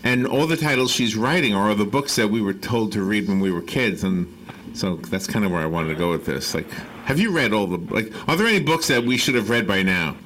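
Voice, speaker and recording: A man hosting a casual radio chat in an animated way, exclaiming and asking lots of questions. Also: fairly low pitch, brisk, slight background noise. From northern United States. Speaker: radio host. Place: radio studio.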